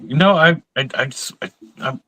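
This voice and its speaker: A man's voice: medium pitch, normal speed, sharp tone, no room sound. A drunk man giving opinions in casual chat, stammering and repeating words as he starts his sentences.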